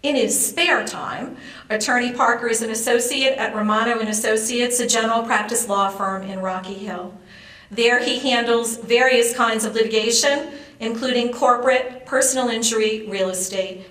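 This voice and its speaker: An American woman giving a formal ceremonial introduction as a speaker, measured and courteous in manner. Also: a little high, fairly even intonation, a bit bright. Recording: roomy echo, distant microphone.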